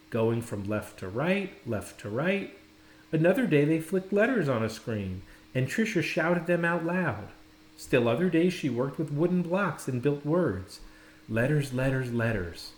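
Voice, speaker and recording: A man narrating a children's storybook expressively, with dramatic, deliberate phrasing and pauses. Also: a little high. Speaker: storybook reader. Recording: roomy, echoing indoor sound.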